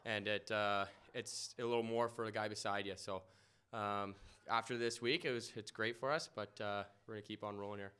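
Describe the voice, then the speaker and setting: A young man answering reporters after a game in an indoor press room, humble and halting, with many fillers and restarts. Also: on the low side, steady tone, fairly bright tone, smooth. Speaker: lacrosse goalie. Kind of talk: post-game press interview. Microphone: podium mic in front.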